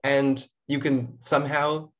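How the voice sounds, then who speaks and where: A woman's voice: very deep voice, little pitch movement, slow. Young Canadian woman, dull lo-fi audio. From small town in New Brunswick, Canada. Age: young adult. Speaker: student writer, podcast guest. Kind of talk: casual interview chat.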